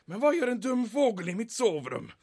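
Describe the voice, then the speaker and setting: Casting: melodramatic German major, high and piercing, swooping exclamations, comic audio drama, gravelly strain. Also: male voice, very animated, background noise. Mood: relieved, blustering. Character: panicky, blustering German major, male, middle-aged.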